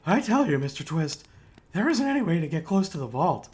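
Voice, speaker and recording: An anxious henchman acted by a man from a pulp crime drama. The delivery swings widely in pitch, like an underling answering to a boss. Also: big pitch swings, a little fast, darkish tone. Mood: protesting. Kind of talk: pulp audio drama acting.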